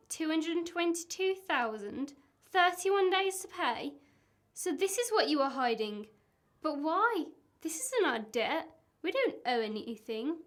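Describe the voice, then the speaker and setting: Exasperated, suspicious wife, played by a young woman, high voice, slightly noisy radio-play recording. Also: a bit bright. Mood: alarmed, demanding. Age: young adult. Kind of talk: amateur radio play acting.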